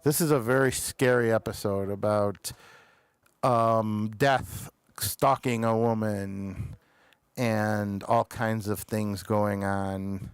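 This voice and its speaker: A man's voice: on the low side, fairly even intonation, slow, smooth, dry recording, studio quality. A man hosting a casual review chat, often hesitant as he searches for words and details. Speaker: show host reviewing television episodes.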